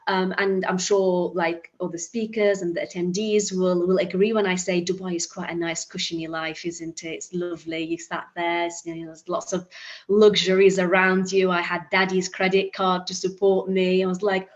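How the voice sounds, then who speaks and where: A woman's voice: fairly low pitch, level tone, normal speed, muffled recording. A woman from Iraq giving an earnest motivational talk at an online summit, with frequent restarts and fillers. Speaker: summit speaker.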